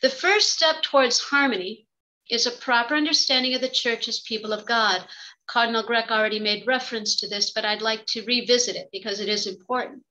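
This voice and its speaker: Female academic speaker, middle-aged, somewhat high pitch, crisp bright tone, measured and formal, delivering a prepared paper over video call. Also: fairly even intonation, low-quality recording. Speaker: theology professor and religious sister giving a talk. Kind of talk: prepared academic lecture read aloud. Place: indoor office, on a video call. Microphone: computer microphone on a video call.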